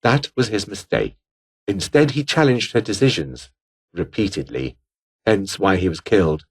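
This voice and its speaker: An actor voicing a scheming, haughty British officer in a video game, with a pompous, formal, disdainful way of speaking. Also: male voice, low-pitched, expressive, moderate pace, slightly rough. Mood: conspiratorial, cold. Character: scheming, haughty British political officer, coldly ambitious man. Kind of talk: scripted game character dialogue.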